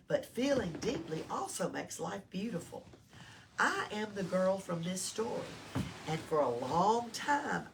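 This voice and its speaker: Animated woman reading aloud to children. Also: medium pitch. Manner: explains simply, prompts with tag questions. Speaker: library storytime reader. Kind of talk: read-aloud to young children with questions. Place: small-town library. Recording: distant mic with background noise.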